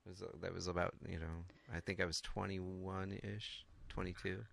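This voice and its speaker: A man's voice: low-pitched, level tone, moderate pace, gravelly, fairly bright tone. A man in his forties, a guest musician, rambling loosely and swearing offhand. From Washington State, USA. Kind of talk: casual interview chat.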